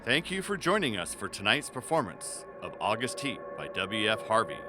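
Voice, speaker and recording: A man's voice: on the low side, very animated, normal speed. A man giving a scripted, spooky sign-off as a show announcer. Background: loud music.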